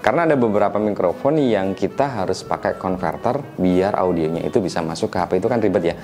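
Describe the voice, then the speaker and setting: A man with a stuffy, nasal voice from a cold, explaining microphone setup in a relaxed, chatty tutorial. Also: deep voice. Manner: relaxed, chatty, frequent tag questions. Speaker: tech reviewer teaching mic setup.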